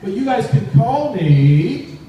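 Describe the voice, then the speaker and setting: A man's voice: extremely high pitch, sing-song, takes it slowly, dull audio. A man hosting a live children's magic show, with theatrical, repetitive, teasing patter to his audience. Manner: repeats phrases, teasing build-ups to the audience. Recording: echoey, noisy, distant live recording.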